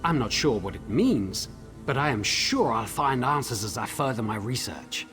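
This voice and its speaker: A man playing an eager, scholarly researcher of clockwork machines in a video game, with an expressive, explanatory manner. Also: mid-range voice, normal speed, over music, natural voice. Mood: musing. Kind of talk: video game character dialogue.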